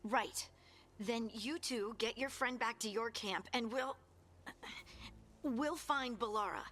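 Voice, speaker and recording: A loyal scout companion in a fantasy game. Her voice is fairly high and her delivery unhurried. The character is stubborn and plucky. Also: fairly bright tone. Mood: resolved. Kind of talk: video game voice acting.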